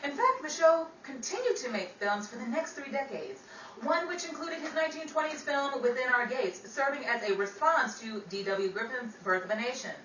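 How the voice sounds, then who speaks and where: A woman's voice: sits high, lively intonation, normal speed, a bit bright. A woman playing a chatty, wisecracking 1930s radio gossip gal in an old-time radio drama, with theatrical vintage patter. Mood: informative, chatty. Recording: roomy, distant mic with faint noise.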